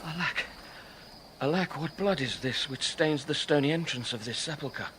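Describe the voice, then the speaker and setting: A man's voice: on the high side, moderate pace, noisy background, close to an everyday voice. Man playing an anxious old friar, classical drama. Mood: horrified sorrow. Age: older. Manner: urgent, exclaiming, formal. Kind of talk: classical Shakespearean radio drama acting.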